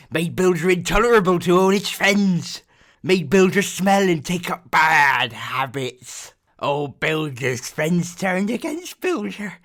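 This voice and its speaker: A man's voice: high voice, gravelly, a bit bright, heavily acted. A man voicing a small, grubby blue swamp creature in an audiobook, gloating and greedy, crowing theatrically about himself in the third person with a phlegmy voice.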